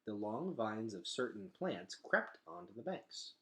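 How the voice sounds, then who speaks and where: Animated man reading a story aloud. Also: fairly low pitch, measured pace, vocal fry. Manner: animated, dramatic delivery. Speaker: reader of a children's novel. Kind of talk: expressive read-aloud storytelling.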